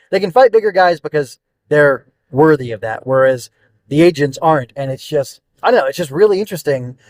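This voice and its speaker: A man's voice: medium pitch, a little mellow, no room sound. A man hosting a podcast, giving a casual unscripted review, rambling and searching for words as he thinks aloud.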